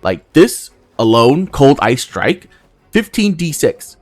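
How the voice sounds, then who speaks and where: A man's voice: mid-range voice, sing-song, slow pace, dry recording. A man giving casual playthrough commentary as a gaming host, thinking aloud and swearing casually as he goes.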